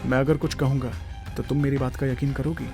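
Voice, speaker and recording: Man as an earnest, quick-talking young husband. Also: mid-range voice, level tone, vocal fry, darkish tone, soft background music, lightly acted. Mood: earnest, insistent. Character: earnest, wronged young husband defending his innocence. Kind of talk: Hindi audio drama acting.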